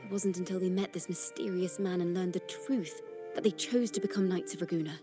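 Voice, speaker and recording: A woman voicing a gentle, earnest young bard in a video game story, speaking in a measured, contemplative and poetic way. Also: fairly low pitch, natural voice. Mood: serious, resolute. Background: background music.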